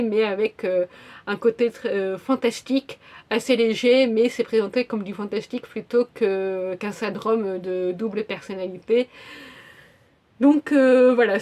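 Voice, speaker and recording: A woman vlogger giving a casual spoken review of TV dramas, hesitant, with many filler sounds and restarts. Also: fairly high pitch.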